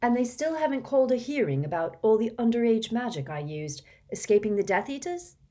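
A woman's voice: a little high, big pitch swings, brisk, warm tone, voice pushed up. A frustrated teenage boy wizard fires off bitter questions, his voice raised well above the narration, as a woman reading aloud puts him on. He sounds tense and resentful.

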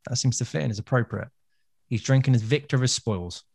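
A man's voice: medium pitch, fast talker, creaky, a little mellow, no room sound, studio quality. A man co-hosting a podcast, chatting casually and explaining things for listeners. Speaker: podcast co-host. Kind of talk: casual chat.

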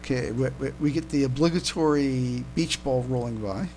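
A man co-hosting a casual fan podcast, speaking haltingly and often repeating his words as he comments. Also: medium pitch, darkish tone. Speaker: podcast co-host. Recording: noisy home recording with hum.

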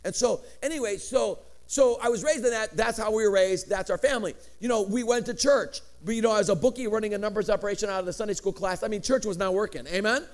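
Animated middle-aged preacher with a high voice. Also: male voice, fast talker, few pauses. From Chicago. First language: Greek. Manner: animated, swinging inflections up and down, joking asides. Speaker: pastor. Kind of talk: sermon.

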